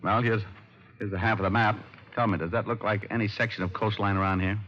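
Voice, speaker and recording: Hardboiled radio gumshoe, played by a man, lowish voice, shrewd, wry, assured, on a dull vintage recording. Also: steady tone, fast, close to an everyday voice. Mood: brisk, shrewd. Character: shrewd, confident hardboiled male private detective. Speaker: private detective. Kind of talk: old-time radio drama acting and narration.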